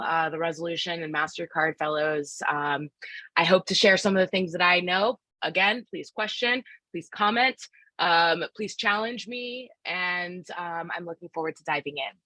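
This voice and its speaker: Upbeat woman, low and bright. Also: no echo. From Philadelphia, United States. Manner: upbeat, encouraging, invites the audience in, with many fillers. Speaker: workshop presenter and facilitator. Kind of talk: live online workshop presentation. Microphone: video call microphone.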